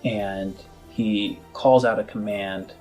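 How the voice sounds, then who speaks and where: A man narrating a tabletop game as game master, casual and halting as he searches for words. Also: lowish voice, fairly even intonation, a little mellow, soft background music, rough recording.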